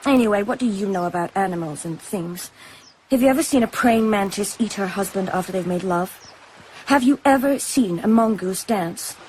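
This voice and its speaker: A woman's voice: medium pitch, normal speed, darkish tone, slight background noise, close to an everyday voice, low-quality recording. An actress playing a wary, defiant young island woman who collects shells, speaking directly and challengingly in a radio drama.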